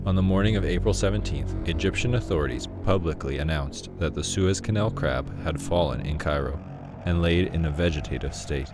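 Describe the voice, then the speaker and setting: A man reading scripted mock-documentary narration in a formal, deadpan manner. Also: low-pitched, brisk. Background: music.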